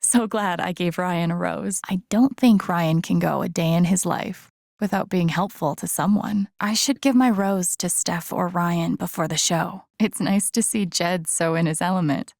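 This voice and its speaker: A woman playing an introspective, gently wry young woman in a video game, musing to herself in a naturalistic, low-key way. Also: creaky, no room sound, clean studio sound. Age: young adult. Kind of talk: naturalistic game voice acting, inner-monologue remarks.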